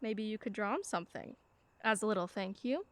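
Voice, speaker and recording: A woman playing a single mother in a radio play, teasing and gently probing her daughter. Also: medium pitch, a little fast, dry recording, lightly acted.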